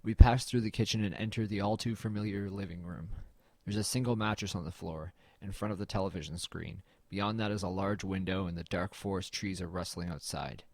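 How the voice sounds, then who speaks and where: A man acts as a brooding male narrator of a first-person horror audio drama. His voice sits low, in a very dry recording. He tells it in a measured, uneasy way, as someone exploring a dead man's house. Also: vocal fry, no room sound.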